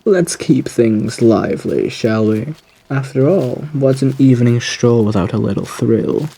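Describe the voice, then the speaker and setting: Male actor as suave woodland villain, gravelly and mellow, genteel menace, theatrical phrasing, ASMR audio roleplay. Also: medium pitch, unhurried. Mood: darkly amused. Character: suave, sinister gentleman predator lurking in dark woods, theatrically menacing.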